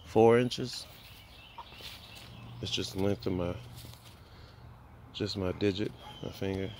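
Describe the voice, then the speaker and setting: Low-voiced man rambling on a backyard phone video. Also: steady tone, takes it slowly, a little mellow, a little hiss or hum. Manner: rambling, thinking aloud with many fillers. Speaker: homesteader giving a tour. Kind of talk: casual walk-and-talk vlog. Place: outdoor backyard by chicken coops. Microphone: handheld phone camera.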